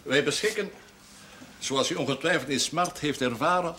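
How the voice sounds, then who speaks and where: Stern, pompous old pastor. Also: male voice, on the high side, sharp tone. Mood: lecturing, exasperated. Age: older. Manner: formal, pompous, measured and admonishing. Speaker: actor in a television drama series. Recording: faint background noise, old television audio.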